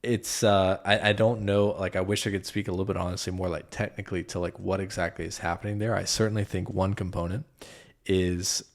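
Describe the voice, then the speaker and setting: Podcast guest, male, deep and gravelly, halting and filler-heavy delivery. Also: flat delivery. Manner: hesitant, many fillers and restarts. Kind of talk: casual conversation.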